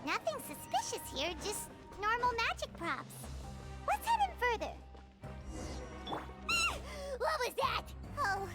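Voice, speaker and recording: A tiny, childlike game companion with an extremely high, squeaky voice. It is curious and jumpy, swooping expressively at a slow pace over loud music. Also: female voice, very animated, heavily acted. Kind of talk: video game voice acting.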